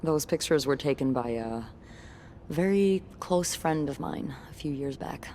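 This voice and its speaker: Bold hotel employee, woman, low voice, very animated intonation, wry, confident, playful, game dialogue. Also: measured pace, slight background noise. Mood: nostalgic. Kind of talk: naturalistic video game acting.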